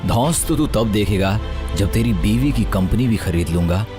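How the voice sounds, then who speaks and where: An actor as a smug rich young man in an audio drama. His voice is low and arrogant, taunting, with music clearly behind him. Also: lively intonation, moderate pace, natural voice. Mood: menacing. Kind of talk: Hindi audio drama acting.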